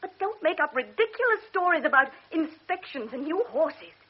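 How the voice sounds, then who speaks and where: An actress playing a proud, sharp-tongued invalid young woman who speaks intensely and reproachfully in a classic radio drama. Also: high voice, sing-song. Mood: reproachful, angry. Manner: intense, reproachful, quick to flare. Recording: dull old radio recording.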